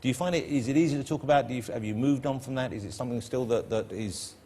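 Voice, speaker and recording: A middle-aged man with a London accent, hosting a TV chat show and teasing his guest in quick, playful banter. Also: medium pitch, a little mellow, slight background noise. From East London. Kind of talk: joking chat show interview. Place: TV studio with audience.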